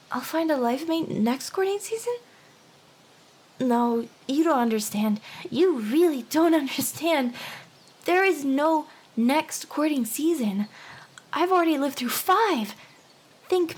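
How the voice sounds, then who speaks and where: A very high, girlish harpy played by a woman for an anime ASMR roleplay. She is young, lovelorn and desperate to win her human. Her delivery is earnest and halting, with stammers and soft whispered phrases.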